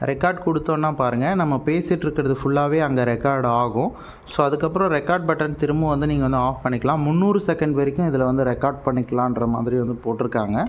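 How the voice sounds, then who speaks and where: A man's voice: medium pitch, fast talker, lo-fi sound. A man with a Tamil Nadu accent explains things casually in an unboxing vlog, dropping English words into his speech. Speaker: product reviewer. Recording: noticeable steady background noise.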